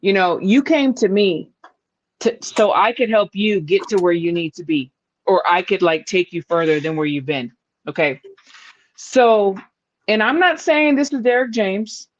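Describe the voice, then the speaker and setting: A woman's voice: medium pitch, unhurried. A woman hosting a casual boxing talk show, blunt and emphatic, who swears freely and often laughs. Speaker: boxing podcast host. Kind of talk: casual panel chat. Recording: dull lo-fi sound.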